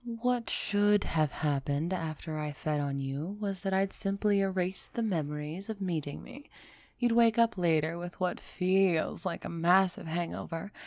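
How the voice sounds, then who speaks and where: Woman acting a slow, low-voiced aristocratic vampire lady. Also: darkish tone, dry recording, clean studio sound. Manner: haughty, measured, musing. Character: aloof aristocratic female vampire, softening toward a human. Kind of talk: scripted audio roleplay acting, close and soft.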